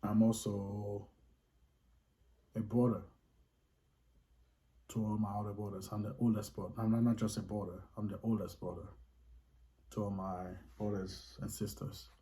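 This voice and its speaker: A man's voice: on the low side, flat delivery, moderate pace, long pauses, mellow, poor audio. A man with a Haitian accent, earnestly explaining his faith in casual talk, restating his points for emphasis.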